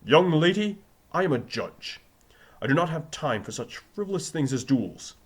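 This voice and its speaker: A man's voice: on the high side, sing-song, normal speed, breathy edge, voice pushed up. A man voicing a stern, condescending judge in a story reading, pompous and impatient with frivolity. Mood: stern, scornful. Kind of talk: fan fiction reading with character voices.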